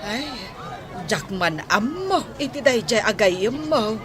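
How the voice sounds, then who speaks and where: Gossipy aunt amid loud crowd. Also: female voice, a little high, lively intonation, takes it slowly. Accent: Ilocano. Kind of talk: Ilocano radio drama acting. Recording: busy outdoor market crowd noise.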